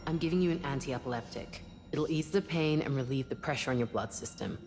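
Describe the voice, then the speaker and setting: Very low-voiced, blunt ship's medic. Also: female voice, brisk, darkish tone, faint music behind. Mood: clinical. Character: blunt, practical female spaceship crew medic. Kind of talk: cinematic video game acting.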